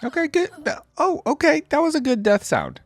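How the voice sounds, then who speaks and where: A man's voice: very high voice, wildly swooping pitch, moderate pace. A man giving casual reaction commentary as a video host, chatting directly to his viewers in a conversational way. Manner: conversational, addresses viewers directly as guys and gang.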